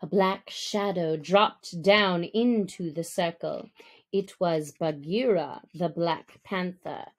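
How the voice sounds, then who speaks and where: A woman reads a storybook aloud in a mid-range voice. Her narration is very slow, measured and expressive, shaped for an audiobook. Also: mid-range voice. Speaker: audiobook reader.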